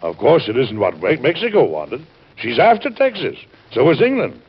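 A man playing a dignified, courteous statesman, kindly but firm, with formal measured phrasing and a gravelly voice in an old-time radio drama. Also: mid-range voice, huge pitch swings, exaggerated voice. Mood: resolute. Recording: dull, band-limited old radio recording.

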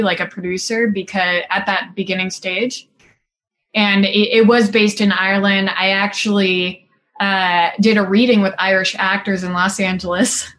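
A woman's voice: mid-range voice, fairly bright tone. A woman in her thirties from Singapore, a film director chatting casually as an interview guest, talking in long runs packed with "like" and "you know" and laughing easily.

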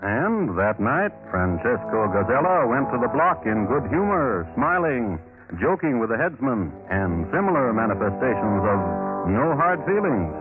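A tinny vintage radio recording with prominent music features a wry storyteller. The voice is mid-pitched. The narration is deadpan and dryly ironic, in old radio drama style. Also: male voice, unhurried. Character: wry, droll storyteller of historical murders.

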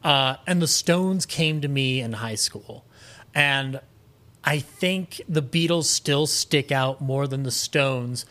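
A man talking as a podcast guest in a casual, enthusiastic way, animated, rambling and opinionated. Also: medium pitch, slow pace. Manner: animated, rambling, opinionated, acts out imagined quotes.

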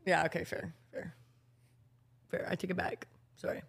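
A woman joins a relaxed conversation in an informal way. She speaks in loose, slangy phrases with a teasing edge. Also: on the low side, moderate pace, gravelly, slightly rough. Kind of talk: casual chat.

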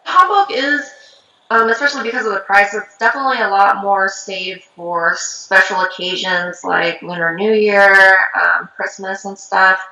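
A woman's voice: mid-range voice, normal speed. A woman raised from Korea whose first language is Korean, a shaman chatting casually in an interview with lots of ums and likes. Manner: relaxed, many fillers like um and like. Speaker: traditional shaman. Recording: roomy, slightly dull sound.

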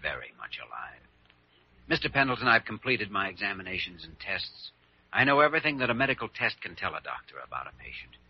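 An actor playing an earnest, confident hospital doctor with dry wit, explaining things in a measured way in an old radio drama. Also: male voice, deep voice, very animated, vocal fry, slightly rough, heavily acted. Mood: reassuring. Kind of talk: 1940s-50s radio drama acting. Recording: dull vintage radio recording.

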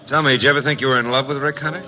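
A man's voice: medium pitch, fairly even intonation, rapid-fire, bright, forceful tone. A man acting a wry, flirtatious American private detective in a vintage radio drama, with breezy, slangy delivery. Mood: probing. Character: wisecracking, flirtatious hard-boiled private detective, adult man. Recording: vintage radio recording with music behind.

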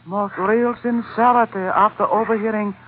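Flattering comic Chinese sidekick male actor, stilted ornate phrasing, very high voice, vintage radio with faint noise. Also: moderate pace, phone-quality sound, close to an everyday voice. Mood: solemnly flowery. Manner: ornate, stilted broken English, mock-formal. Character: comic, flowery, flattering Chinese sidekick nicknamed Laughing Boy. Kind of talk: old-time radio comic character acting.

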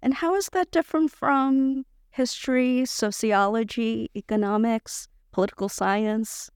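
A woman's voice: fairly high pitch, steady tone, unhurried, clean tone, no echo, clean studio sound. A woman co-hosting a podcast who asks friendly, plain questions of her guests. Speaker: podcast co-host. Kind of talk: podcast interviewing.

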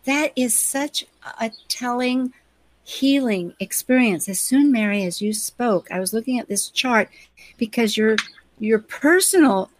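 A woman hosting a live discussion, explaining things conversationally in a measured way. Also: on the high side, slight background noise, poor audio. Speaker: host and astrologer.

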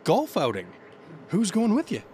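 A man's voice: sits high, wildly swooping pitch, moderate pace, darkish tone, slight background noise. A man playing an earnest, nerdy office father who sounds eager and awkward. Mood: awkward, defensive. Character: earnest, nerdy, put-upon office dad.

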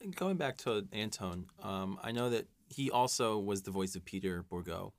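A man's voice: fairly low pitch, animated, normal speed. A man casually putting questions to a guest as the interviewer on a podcast.

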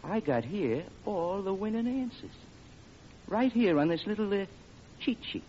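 An actor playing a sly, slangy racetrack tout, wheedling in an old radio comedy. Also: male voice, high-pitched, expressive, unhurried, a little mellow. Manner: conspiratorial, wheedling. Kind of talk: 1940s radio comedy acting. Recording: muffled old radio recording with noise.

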